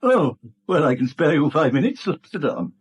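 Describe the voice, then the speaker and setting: An actor playing a bitter middle-aged British bank manager in a radio drama, brusque, indignant and clipped, on a dull vintage recording. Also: male voice, on the high side, sing-song, normal speed, breathy edge, full character voice. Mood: curt, irritated. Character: bitter, prickly long-serving male acting bank manager, older. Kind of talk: 1970s radio drama acting.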